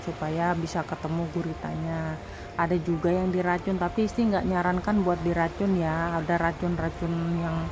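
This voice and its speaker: A woman's voice: fairly low pitch, background noise. A woman narrating her own foraging vlog as a voice-over, chatting with viewers as friends. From Indonesia. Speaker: vlog host. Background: background music.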